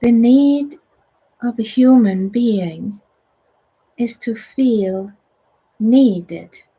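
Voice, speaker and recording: A middle-aged woman with a Swedish accent, whose first language is Swedish, leading a guided meditation and spiritual teaching in a soft, soothing voice that often sinks to a whisper. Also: fairly high pitch, very slow, breathy edge, poor audio.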